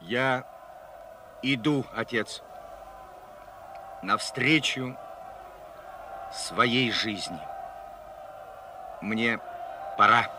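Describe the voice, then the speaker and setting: An actor playing a young Red Army soldier in a Soviet radio play, earnest, bewildered and halting. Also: male voice, medium pitch, noisy background. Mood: solemn farewell. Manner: halting, wondering, dramatic. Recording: vintage radio play over loud music.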